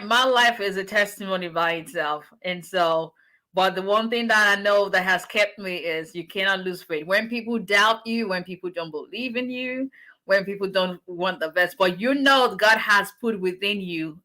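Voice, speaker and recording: A woman talks casually on a livestream, greeting viewers and urging them to keep faith. Her voice is bright and forceful, with a preacher's lift when she encourages. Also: medium pitch. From Nigeria. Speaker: immigration attorney.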